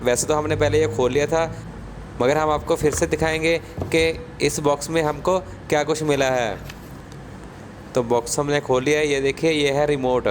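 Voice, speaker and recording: Male tech presenter, slightly high pitch, casual step-by-step explainer delivery, faint street noise in the background. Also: fairly even intonation, normal speed. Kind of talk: casual product unboxing narration.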